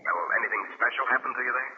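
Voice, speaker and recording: A man's voice: medium pitch, rapid-fire, a little hiss or hum. Echoing telephone voice, polite male interviewer. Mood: polite, coaxing. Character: polite, coaxing radio program man interviewing by phone. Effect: telephone filter. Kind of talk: 1950s radio drama acting. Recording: echoing thin phone line, old radio.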